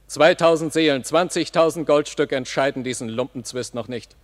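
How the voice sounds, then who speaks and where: An actor playing a dutiful army captain with a matter-of-fact, soldierly manner in a German radio play of classical drama. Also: male voice, a little high, normal speed, big-room sound, close to an everyday voice. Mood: plain, disdainful.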